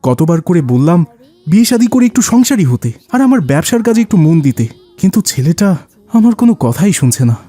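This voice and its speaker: Troubled rich dad for Islamic cartoon, male actor: slightly high, soft-edged voice, plaintive and earnest. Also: very animated, quick pace, no echo. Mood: worried, complaining. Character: worried, complaining wealthy father of a wayward son.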